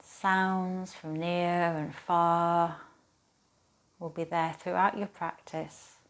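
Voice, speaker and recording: A woman leads a guided relaxation as a yoga teacher. Her voice sits a little low for a woman. She speaks gently and soothingly, leaving unhurried pauses between phrases. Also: little pitch movement.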